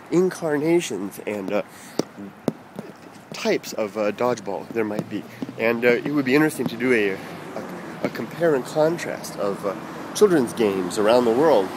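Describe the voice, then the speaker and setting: A man's voice: on the high side, noisy background. Rambling American man vlogging outdoors. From United States. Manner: halting, many fillers and restarts, chuckles. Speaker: vlogger answering viewer comments. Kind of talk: rambling casual vlog chat.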